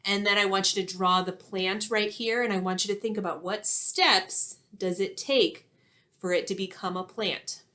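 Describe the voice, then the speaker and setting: A woman in her thirties, an elementary teacher reading a storybook aloud and giving instructions in a clear, expressive way for young children. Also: medium pitch, moderate pace. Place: small indoor classroom-like room.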